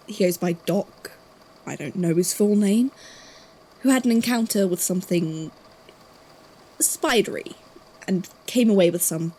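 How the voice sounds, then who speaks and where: A woman playing a guarded, thoughtful investigator in an audio drama, speaking haltingly. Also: lowish voice, mellow, poor audio. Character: guarded, puzzled investigator from a supernatural archive.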